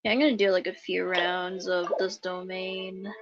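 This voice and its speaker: A woman's voice: lowish voice, little pitch movement, normal speed, slight background noise. A woman chatting casually as she streams a video game. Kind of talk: casual livestream chat. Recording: muffled stream microphone.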